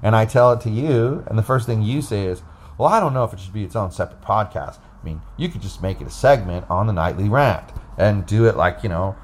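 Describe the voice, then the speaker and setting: A man's voice: fairly low pitch, expressive, brisk, slight background noise. A man co-hosting a casual, unscripted podcast, explaining things in a rambling way into a phone. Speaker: podcast co-host. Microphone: recorded into a phone app.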